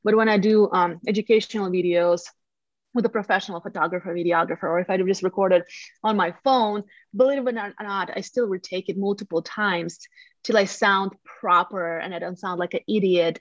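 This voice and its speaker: A woman's voice: medium pitch, fairly even intonation, a little fast, no room sound, lo-fi sound. A woman from Latvia with a Latvian accent, a guest chatting frankly and cheerfully over a video call, calling her listeners friends. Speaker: guest, real estate agent. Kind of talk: casual podcast chat over video call.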